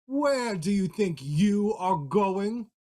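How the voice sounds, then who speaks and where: A woman voicing a vain, hot-tempered male lion who roars and blusters in a slow, gruff voice. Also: medium pitch, lively intonation, dark tone. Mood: menacing.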